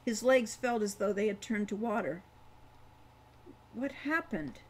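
Woman in her sixties, storybook narration, medium pitch, deliberate dramatic phrasing. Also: fairly even intonation, a little mellow, a little hiss or hum, rough recording. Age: 60s. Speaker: author reading own novel. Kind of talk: audiobook-style fantasy narration. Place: home room with kitchen behind.

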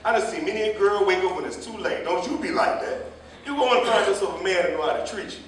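An echoey stage drama features a man playing an older jitney driver who moralizes and meddles. His folksy, rambling speech swings widely in a high register. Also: lively intonation, fast talker. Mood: preachy, nosy. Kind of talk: live staged play reading. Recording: echoey, distant stage recording with faint noise.

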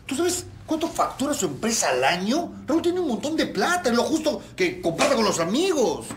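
A man's voice: very high-pitched, wildly swooping pitch, moderate pace, a little hiss or hum, exaggerated voice. An actor with a Peruvian accent playing a proud, broke middle-aged man in a TV comedy serial, with a pompous, dismissive manner. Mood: indignant, entitled. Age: 40s. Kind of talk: telenovela comedy acting. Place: indoor TV set rooms. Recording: background music under dialogue.

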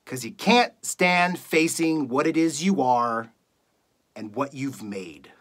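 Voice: lively intonation, takes it slowly. A performer playing a bitter, contemptuous late-night talk radio host in a scornful, theatrical monologue. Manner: scornful, accusatory, building from low muttering to shouting.

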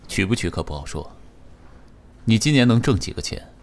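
An actor playing a composed, calculating, wealthy chairman in a radio drama, measured and formal. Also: on the low side, sing-song, darkish tone, a little hiss or hum. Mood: calm, dry. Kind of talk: Chinese radio drama acting.

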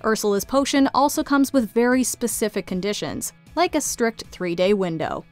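A woman's voice: on the high side, expressive, normal speed, faint music behind. A woman reading scripted video essay narration into a close studio microphone. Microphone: close studio voice-over mic.

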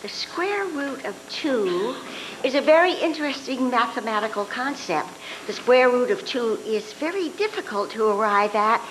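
A woman's voice: fairly high pitch, lively intonation, moderate pace, close to an everyday voice, low-quality recording. An older woman playing Patsy, the chirpy kid sister. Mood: rambling. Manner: bright, rambling, eager. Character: Patsy, chatty kid sister with math talk. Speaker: actress in a live reader's theatre. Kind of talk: live old-time radio drama reading. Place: hotel ballroom stage. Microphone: far camera. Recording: audience video in a ballroom, hum.

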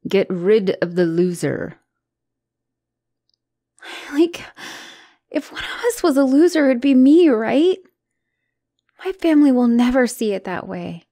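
A woman's voice: on the high side, sing-song, takes it slowly, long pauses, mellow, no echo, studio quality, exaggerated voice. A woman voicing an anxious, self-doubting young spider-woman girlfriend in an ASMR roleplay, speaking hesitantly and emotionally and often slipping toward a whisper. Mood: bitter, hurt.

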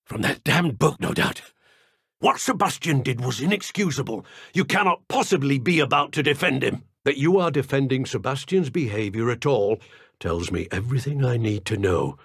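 A gravelly, husky older British wizard from a video game. He is a strict guardian uncle with a short temper, curt and scolding, and his pitch moves widely as he talks. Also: expressive, moderate pace, fairly bright tone, no echo, clean studio sound. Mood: grim reproach.

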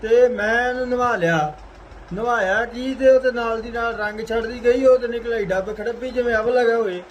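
A man's voice: extremely high pitch, fairly even intonation, moderate pace. A man with a Punjabi accent arguing on a phone call in casual rustic speech, insistent, complaining and coarse-mouthed. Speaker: aggrieved buyer on a phone call. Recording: phone call recording with clear background noise.

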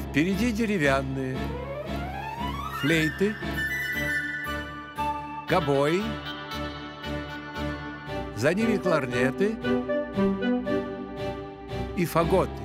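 An actor playing an elegant grasshopper conductor in a children's cartoon, teaching patiently in a theatrical, measured manner. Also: male voice, on the high side, expressive, over loud music. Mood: patient, explanatory.

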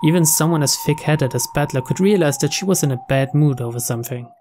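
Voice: medium pitch, normal speed, background noise. A narrator reading visual novel narration aloud.

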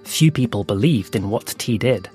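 A man narrating an audio fable in a slow, hushed storytelling manner with dramatic pauses. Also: on the low side, animated. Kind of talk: scripted audio fiction narration. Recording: soft music underneath.

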